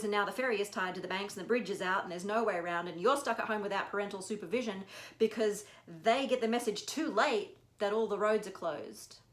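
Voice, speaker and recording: A woman from Australia with a softened Australian accent, performing a lyrical, reflective personal essay at a live reading. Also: medium pitch. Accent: Australian, softened by years in America. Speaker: storyteller. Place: bookshop café event room. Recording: echoey room.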